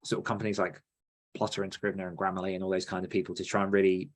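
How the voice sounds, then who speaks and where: A man's voice: sits low, little pitch movement, rapid-fire. A man co-hosting a podcast in a casual, informal chat style, thinking through plans as they talk. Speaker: podcast co-host.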